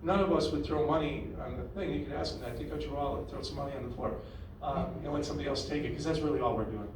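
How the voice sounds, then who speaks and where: A man's voice: a little high, fast, noisy background. Opinionated man talking in an echoey hall. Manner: conversational, opinionated, restarts often. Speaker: host of a town hall meeting. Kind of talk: town hall discussion. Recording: echoey room, far from the mic.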